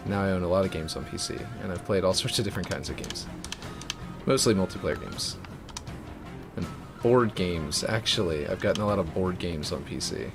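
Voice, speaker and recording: Deep-voiced man rambling on stream. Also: brisk, creaky. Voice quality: fairly deep voice. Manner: rambling, many fillers and restarts. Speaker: streamer building a game map. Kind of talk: casual live-stream chat while working. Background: music.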